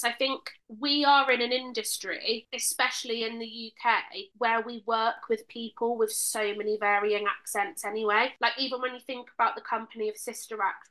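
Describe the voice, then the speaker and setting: A woman with a Southern English accent chats casually as a podcast co-host. She keeps the talk moving with playful questions and jokes. Her voice sits fairly high and sounds bright and forward. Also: rough recording. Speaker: podcast co-host and musical theatre actor. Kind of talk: casual chat.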